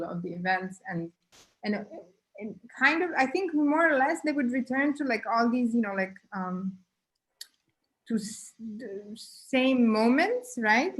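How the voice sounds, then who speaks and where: A woman in a casual online conversation, thinking aloud in halting phrases full of fillers. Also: mid-range voice, rough recording. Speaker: guest artist.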